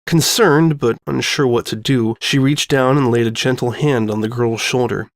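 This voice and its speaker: A man's voice: medium pitch, fairly even intonation, normal speed, no echo. A man reading a scripted story as its narrator. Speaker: narrator reading fan fiction.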